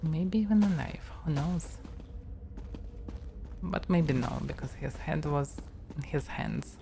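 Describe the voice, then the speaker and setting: Low, slow Russian-accented woman streamer. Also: expressive, gravelly, background noise. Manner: soft-spoken, musing to herself. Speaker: game streamer. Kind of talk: casual gameplay commentary.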